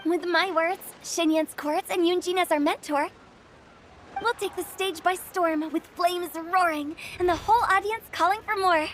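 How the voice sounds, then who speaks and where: A woman's voice: extremely high pitch, fairly even intonation, normal speed, fairly bright tone, slight background noise. A woman voicing a quirky, theatrical, mischievous young female funeral director in a video game. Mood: showy, playful. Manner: playful, theatrical.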